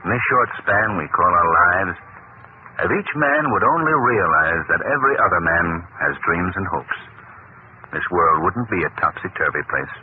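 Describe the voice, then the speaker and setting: An actor playing an assured, benevolent gentleman hero, earnest and courteous, in 1940s radio drama style. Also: deep voice, lively intonation, normal speed. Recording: tinny, noisy old radio recording.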